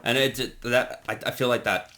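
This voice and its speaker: A man's voice: mid-range voice, steady tone, moderate pace, bright, forceful tone. A man co-hosting a casual commentary on a parody series he directed, rambling with lots of fillers and restarts. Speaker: co-host of a creator commentary.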